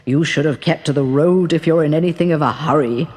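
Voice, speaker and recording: A woman voicing a male river king spirit, grave and commanding, with a slow, measured, stately delivery. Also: low voice, darkish tone, voice pushed down, natural voice.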